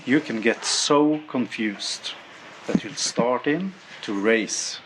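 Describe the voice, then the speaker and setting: Slow-paced man reading verse aloud. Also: mid-range voice, a bit bright, slight background noise, low-quality recording. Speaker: teacher. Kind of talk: reading a children's rhyming book aloud.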